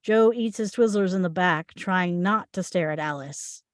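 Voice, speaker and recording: A woman's voice: lowish voice, moderate pace, no room sound, clean studio sound. A woman reading screenplay stage directions aloud as the narrator of a table read.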